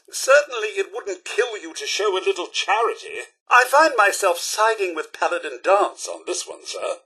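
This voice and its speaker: A man's voice: on the high side, bright, forceful tone, husky, heavily acted. A male actor voicing a prim, fussy robot butler in a video game, with a posh British accent and a theatrical, very polite manner. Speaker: companion character in a video game. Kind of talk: video game voice acting, short dialogue lines.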